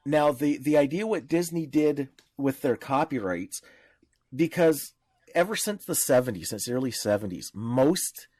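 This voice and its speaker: A man's voice: mid-range voice, expressive, unhurried, darkish tone, dry recording. A man speaking as a podcast panelist in informal discussion, explaining in a didactic way with examples.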